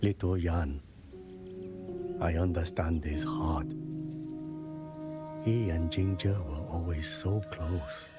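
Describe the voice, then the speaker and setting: An elderly village chief with a very low voice. He is solemn and kindly, telling things in a measured, formal way like a mournful storyteller in a fantasy game. Loud music plays behind him. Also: fairly even intonation. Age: older. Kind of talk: scripted fantasy game dialogue.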